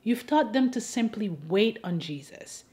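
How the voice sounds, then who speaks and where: A woman from Haiti delivering scripted persuasive commentary to an audience, in a pointed, wry and deliberate rhetorical way. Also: medium pitch, animated, darkish tone. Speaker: host of an advocacy video.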